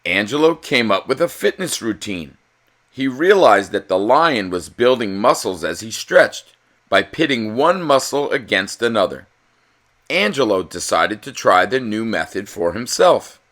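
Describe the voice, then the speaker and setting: Bright, animated male storybook reader. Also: lowish voice, unhurried. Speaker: read-aloud host reading a children's picture book. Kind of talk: expressive children's storybook narration, with casual chat to viewers.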